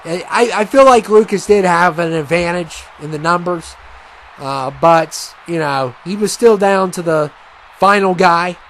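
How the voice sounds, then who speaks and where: A man casually commentating a wrestling video game match, rambling and chatty with many fillers, and he says he has a loud voice. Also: high-pitched, a little slow, slight background noise. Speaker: gaming commentator.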